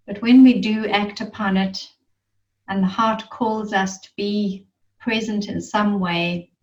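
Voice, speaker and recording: A woman leading a guided meditation in a soothing, reverent manner, leaving long pauses between phrases. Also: medium pitch. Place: online group gathering. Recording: muffled, roomy, distant online-call sound.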